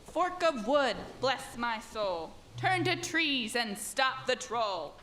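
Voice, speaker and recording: A woman's voice: a little high, very animated, takes it slowly, fairly bright tone, a little hiss or hum, heavily acted. A woman playing a resourceful young magical maid who speaks in incantations in a radio play. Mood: solemn, spellcasting.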